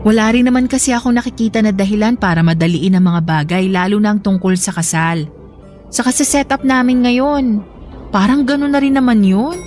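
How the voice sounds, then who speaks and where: A woman's voice: medium pitch, a little fast, darkish tone, close to an everyday voice. A woman playing a practical, contented girlfriend in an audio love drama, with a conversational, reasoning manner. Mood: firm, defensive. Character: contented adult girlfriend, practical, unconvinced about marriage. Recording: music bed behind the voice.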